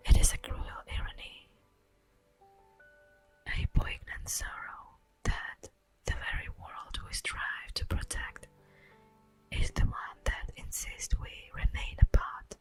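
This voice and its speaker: A woman playing a solemn, tender elf guardian of the forest in a breathy, whispered ASMR roleplay, speaking formally and poetically. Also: faint music behind, natural voice, rough recording. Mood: sorrowful, tender. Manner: slow, formal, poetic, drawn-out delivery.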